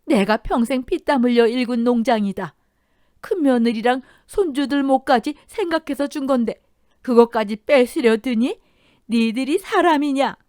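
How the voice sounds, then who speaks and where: A woman's voice: high-pitched, normal speed, a little mellow, dry recording, clean studio sound, voice pushed up, close to an everyday voice. A woman voicing a grieving elderly mother-in-law who speaks in a trembling, breathless voice. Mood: furious. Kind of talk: audio drama storytelling.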